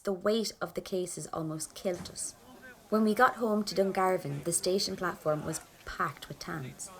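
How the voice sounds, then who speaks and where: A woman's voice: mid-range voice, a bit bright, low-quality recording. An actress playing a nervy, plain-spoken young Irish rebel woman, telling her story in an intimate first person with an Irish accent. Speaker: narrator in an audio drama. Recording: clear background ambience noise.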